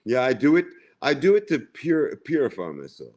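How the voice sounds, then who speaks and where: A man's voice: mid-range voice, sing-song, moderate pace, darkish tone. A man in his forties, chatting casually on a livestream and rambling and repeating himself. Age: 40s. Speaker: livestream co-host.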